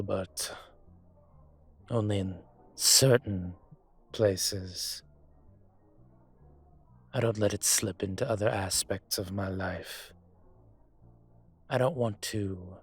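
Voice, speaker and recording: A man playing a suave, teasing dragon mafia boss in an intimate roleplay audio, speaking deliberately with a husky voice. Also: fairly low pitch. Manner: smooth-talking, deliberate, teasing.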